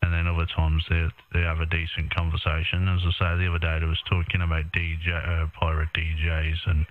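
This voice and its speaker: A low-pitched British man chats casually over a narrow-band radio link. He is matey and colloquial, often tagging questions onto his remarks. The sound is thin, like a ham radio transmission. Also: monotone, fairly quick. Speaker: amateur radio operator.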